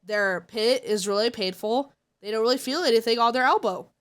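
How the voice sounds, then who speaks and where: A woman's voice: on the high side, a little fast. A congested woman streamer chatting casually and giving blunt, opinionated takes. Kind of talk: casual live-stream chat.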